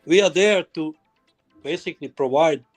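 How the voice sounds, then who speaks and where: A man's voice: on the high side, faint music behind. Home inspector man. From former Yugoslavia. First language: Croatian. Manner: halting non-native phrasing. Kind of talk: casual interview.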